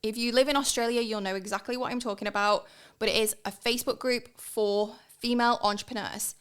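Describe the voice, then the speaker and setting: A woman in her thirties with an English accent, giving an energetic, emphatic podcast talk as a guest consultant. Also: fairly high pitch, a little fast, smooth. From England. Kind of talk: sponsored podcast monologue.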